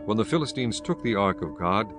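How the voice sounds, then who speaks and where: A man reading scripted scripture narration in a solemn, measured manner. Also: on the low side, lively intonation, music in the background.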